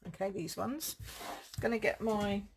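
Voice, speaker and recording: Woman, croaky voice, rambling and thinking aloud, casual live craft demo, light background hiss. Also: medium pitch, measured pace. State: croaky throat. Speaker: card-making demonstrator.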